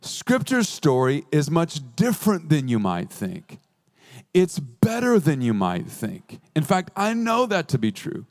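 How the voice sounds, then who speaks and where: Earnest preaching man, mid-pitched voice. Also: wildly swooping pitch, a little mellow. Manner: earnest preaching with playful asides and slow emphatic repeats. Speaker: pastor. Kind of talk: sermon.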